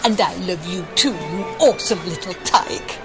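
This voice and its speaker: An actress playing a gushing, cheeky middle-aged mum in an audio comedy drama, fond and a little saucy. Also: female voice, on the low side, moderate pace, lightly acted. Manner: gushing, scolding fondly. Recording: music under the voice.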